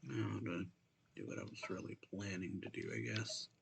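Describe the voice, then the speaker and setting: A man giving casual, low-key gameplay commentary, thinking aloud in an offhand way. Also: steady tone, moderate pace, creaky, a bit bright, a little hiss or hum. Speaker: gaming streamer. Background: video game music and character voices.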